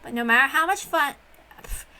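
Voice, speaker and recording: High, bright woman narrating a story. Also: expressive, moderate pace, rough recording. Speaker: reader of a visual novel. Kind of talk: dramatic scripted narration.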